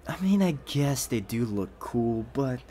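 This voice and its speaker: A man playing a rich, possessive, doting boyfriend in a soft-spoken, coaxing ASMR roleplay. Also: mid-range voice, big pitch swings, a little hiss or hum. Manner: soft-spoken, unhurried, coaxing with pet names. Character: rich possessive young boyfriend, smooth, doting, quietly menacing.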